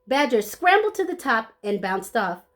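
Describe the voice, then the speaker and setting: A woman's voice: fairly high pitch, very animated, normal speed. A woman reading a children's story aloud expressively, playing up the sound words and adding short asides to her listeners. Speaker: storybook reader.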